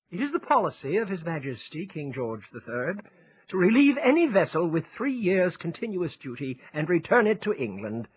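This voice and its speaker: An actor playing a haughty, spiteful British navy captain in an audio drama, pompous, measured and taunting. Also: male voice, high-pitched, wildly swooping pitch, husky, exaggerated voice. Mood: official. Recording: slightly dull recording.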